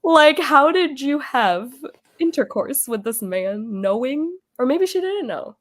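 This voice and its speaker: A woman hosting a casual book club chat over a video call, losing her voice as she gets over an illness, chatty and quick to laugh. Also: sits high, animated. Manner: chatty, laughs often, trails off.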